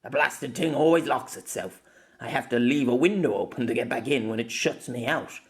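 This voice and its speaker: A brash, gruff swordsman near sixty, taunting and roaring, played by a male narrator. The voice stays close to the narrator's own, with a slightly altered tone. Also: medium pitch, lively intonation, normal speed, close to an everyday voice. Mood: wry. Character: brash old man nearly sixty, a swordsman.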